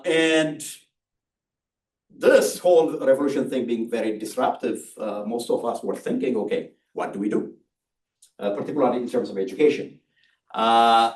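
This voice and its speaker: A mature man from Ethiopia with an Ethiopian accent, giving a public lecture with personal storytelling in a deliberate, hesitant, emphatic way. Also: mid-range voice, darkish tone. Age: middle-aged or older. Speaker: university engineering lecturer. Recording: roomy, distant microphone.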